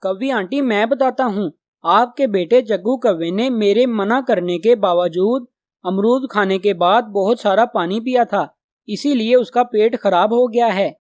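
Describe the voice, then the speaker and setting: A woman voicing a sensible, obedient young male sparrow in a children's cartoon, earnest and gently chiding. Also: medium pitch, unhurried, dry recording, studio quality, close to an everyday voice. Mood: tattling. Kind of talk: Hindi children's cartoon dubbing.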